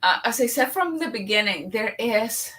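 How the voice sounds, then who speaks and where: A woman from Latin America with a Latin American Spanish accent, talking on a live online panel, animated, with many restarts and fillers. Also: a little high, normal speed, far from the mic.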